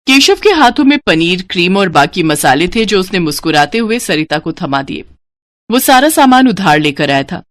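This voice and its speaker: Expressive female Hindi storyteller, lively pitch. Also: normal speed, dry recording. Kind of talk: scripted story narration.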